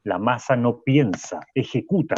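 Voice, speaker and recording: A man in a radio drama playing a pompous, defensive sociology professor with halting, emphatic repetitions, slightly muffled. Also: mid-range voice, animated, a little mellow, slight background noise, close to an everyday voice. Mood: pompous lecturing. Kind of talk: Spanish-language radio drama acting.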